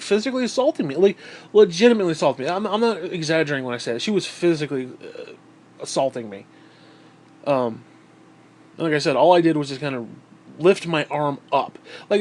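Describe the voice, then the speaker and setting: Man, nasal, stuffed-up nose, slightly high voice, rambling, swears a lot. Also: slight background noise. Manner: profane, bitter, rambling. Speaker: vlogger. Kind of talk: casual rambling monologue.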